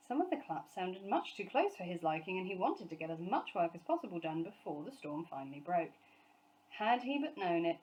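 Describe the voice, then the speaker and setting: A woman's voice: on the low side, a little mellow, low-quality recording. A woman reading a children's storybook aloud as scripted narration. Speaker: storybook reader, teacher.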